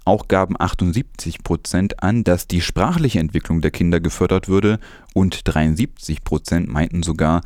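A man's voice: low-pitched, normal speed, few pauses, darkish tone, dry recording, studio quality. A man reading scripted news as a presenter.

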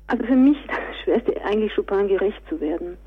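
A woman's voice: medium pitch, lively intonation, brisk, slightly rough, narrow, tinny audio. A woman answering interview questions conversationally as an author and director, on a thin radio recording.

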